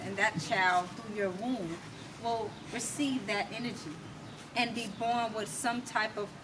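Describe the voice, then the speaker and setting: An American woman telling her personal story as an interview guest, emphatic and reflective, in short deliberate phrases. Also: mid-range voice. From United States. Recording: distant mic, clearly noisy background.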